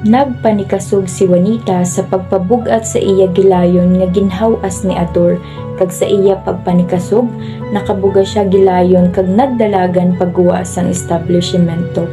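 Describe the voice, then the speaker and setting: A woman's voice: fairly low pitch, fairly even intonation, moderate pace. A woman with a Filipino accent reading scripted narration for a radio drama. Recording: music bed behind the voice.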